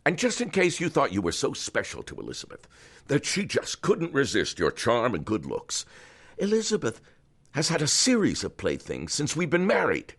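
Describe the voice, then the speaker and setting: An actor playing a cold, controlling older man in an audio drama, threatening and swinging from hushed to loud. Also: wildly swooping pitch, normal speed, exaggerated voice. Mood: cold menace. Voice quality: gravelly, very deep. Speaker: Doctor Barrows, wealthy older power broker.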